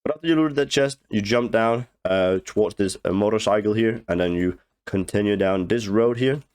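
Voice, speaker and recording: Hoarse, congested man guiding a game. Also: lowish voice, a little mellow. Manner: halting, many fillers, clears throat. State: hoarse with a cold, blocked nose. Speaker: game guide creator. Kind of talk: casual gameplay walkthrough commentary.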